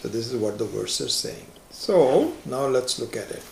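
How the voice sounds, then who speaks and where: A man's voice: mid-range voice, big pitch swings, normal speed, vocal fry, darkish tone, a little hiss or hum. A man whose first language is Hindi, teaching a scripture class and explaining ideas patiently, step by step, with everyday examples. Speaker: Vedanta teacher. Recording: echoey room.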